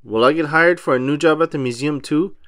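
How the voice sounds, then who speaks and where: A man's voice: a little high, fairly quick, fairly bright tone, no echo, studio quality, lightly acted. A man voicing a sour, self-pitying cartoon squid with grumbling, dramatic delivery in a storybook reading. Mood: anxious.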